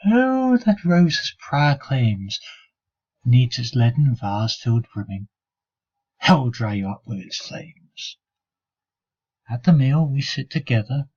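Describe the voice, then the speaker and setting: A man reciting a dramatic poem as a jealous, sneering monk who seethes with hatred for a fellow brother, in a husky voice. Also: mid-range voice, animated, slow pace, long pauses, a little mellow. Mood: seething, then sneering, mocking. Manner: sneering, sarcastic, growling asides. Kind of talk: dramatic poetry recitation, 19th century monologue. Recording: dull lo-fi recording.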